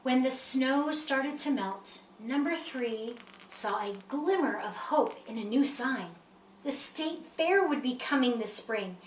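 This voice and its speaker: A woman reading a children's picture book aloud in an expressive storytelling style. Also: sits high, slow. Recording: roomy echo, distant mic, faint noise.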